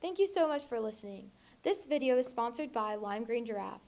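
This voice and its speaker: A woman's voice: fairly high pitch, expressive, a little fast, a little mellow. A teenage young woman reading a children's storybook aloud. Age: teens. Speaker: volunteer storybook reader.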